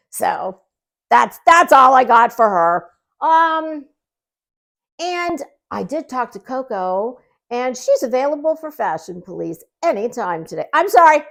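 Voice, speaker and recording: A woman's voice: sits high, creaky, fairly bright tone. A woman giving casual gossip commentary in a sarcastic, mocking way, as the host of her own online show.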